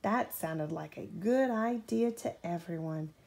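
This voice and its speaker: A woman reading a children's story aloud as a mother at bedtime, in an animated way, pausing to put questions to a child. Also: fairly low pitch, gravelly.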